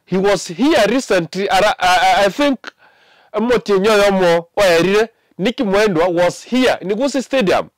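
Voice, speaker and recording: A young Kenyan man with a Kenyan accent, giving animated casual commentary to camera from a small indoor studio, with many fillers and mixed languages. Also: high voice, normal speed, no room sound. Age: young adult. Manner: animated, mixing English and Ekegusii, many fillers.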